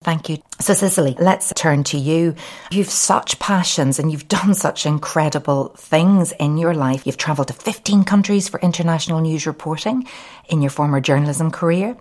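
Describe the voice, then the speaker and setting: A woman with a low-pitched voice presents as a podcast host. She delivers polished, scripted introductions and questions, addressing guests in a complimentary way. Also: normal speed, a little mellow.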